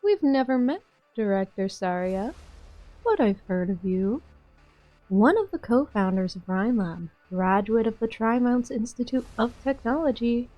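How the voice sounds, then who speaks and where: A woman's voice: a little high, lively intonation, unhurried, dry recording, highs cut off, soft background music. A woman playing a cool, taunting, cryptic mercenary in a fan-dubbed game drama, composed and provocative. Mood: taunting, probing.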